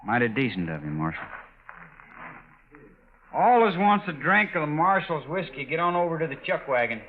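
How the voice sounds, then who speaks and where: Brash young cowhand, muffled old radio. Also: male voice, on the high side, sing-song, normal speed. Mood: brightened. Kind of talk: 1950s radio western acting. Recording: dull, noisy vintage radio recording.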